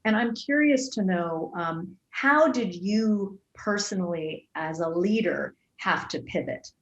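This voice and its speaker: A woman's voice: fairly low pitch, lively intonation, slow, muffled recording. A woman moderating a panel discussion, earnest and inquisitive.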